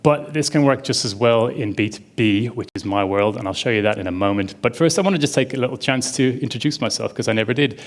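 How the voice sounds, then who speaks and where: A man's voice: medium pitch, fast talker, few pauses. A man giving a casual conference talk on stage, chatty and enthusiastic, with lots of fillers. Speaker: conference speaker. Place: conference stage before an audience.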